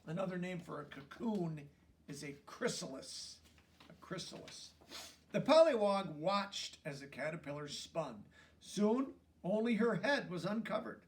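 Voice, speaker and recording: A man reading a children's story aloud as an expressive narrator. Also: sits high, a little slow, far from the mic. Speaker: storybook reader.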